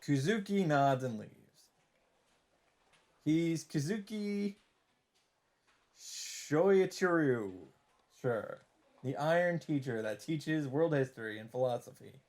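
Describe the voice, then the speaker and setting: A man's voice: fairly high pitch, expressive, takes it slowly, long pauses, darkish tone. A man reading visual novel text aloud for a let's play and adding wry, joking asides.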